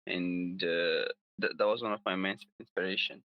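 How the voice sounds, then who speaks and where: Afghan guy, pashto first language, low voice, halting answers. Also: fairly even intonation, dull audio. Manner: halting, with restarts. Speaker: app co-founder, guest. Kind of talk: casual interview answers.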